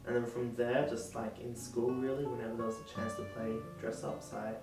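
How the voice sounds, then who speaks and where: A nineteen-year-old man, a drag performer, telling his story in an interview, pausing often with ums. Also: mid-range voice, little pitch movement. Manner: hesitant, many ums. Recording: distant echoey mic with music. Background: guitar music.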